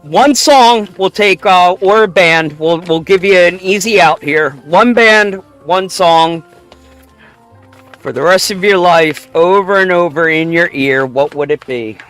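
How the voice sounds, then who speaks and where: A man hosting a show, running a playful quick-fire question game with a guest, joking and laughing often. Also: sits high, a bit bright, clear, resonant tone, faint music behind. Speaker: show host.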